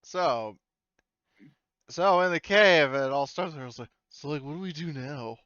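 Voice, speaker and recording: A man's voice: fairly high pitch, expressive, moderate pace, fairly bright tone, clean tone, no echo, muffled recording. A man running a tabletop game, describing scenes in loose, casual, filler-heavy phrasing.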